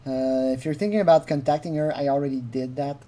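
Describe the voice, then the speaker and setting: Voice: medium pitch, fairly even intonation, fast talker, warm tone. Video commentator, mid-range voice, informal and rambling, giggly, given to mocking impressions. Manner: giggly, often laughing through sentences; rambling, with acted-out mocking quotes. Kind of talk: informal spoken commentary on a recording.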